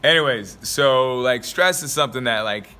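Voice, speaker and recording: Young male vlogger, a bit raspy from a dry throat, bright and forceful, loose rambling motivational talk. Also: mid-range voice, normal speed. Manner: rambling, filler-heavy, laughs often. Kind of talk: casual motivational talk to camera.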